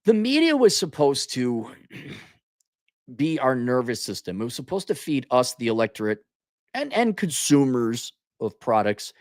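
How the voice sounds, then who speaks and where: Man, livestream host, lively swooping intonation, sarcastic, exasperated, colloquial, unscripted rant, dry close sound. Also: mid-range voice, a little slow, no echo. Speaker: online commentary host answering viewer comments. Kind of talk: off-the-cuff opinionated livestream rant.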